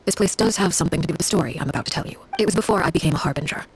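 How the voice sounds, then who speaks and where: An actress playing a cold, composed woman of high rank in a video game, telling grim history in a measured, formal, unsentimental way. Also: breathy edge. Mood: composed, solemn. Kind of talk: scripted dramatic game dialogue. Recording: music behind the voice.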